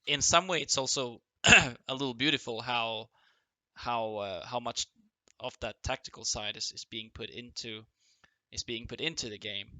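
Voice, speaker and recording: Male podcast host, sharp tense tone, slightly hoarse, dry room, chatty and halting. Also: mid-range voice, dry recording. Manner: friendly, rambling, lots of restarts and fillers. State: losing his voice, clearing throat. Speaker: interview host. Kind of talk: casual interview over voice chat.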